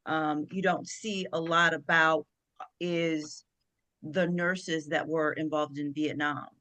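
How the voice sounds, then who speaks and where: A woman's voice: deep voice, steady tone, takes it slowly, pushed and bright. A woman, a guest actress on a video call, chatting casually and stressing her points with repeated phrases. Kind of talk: casual chat.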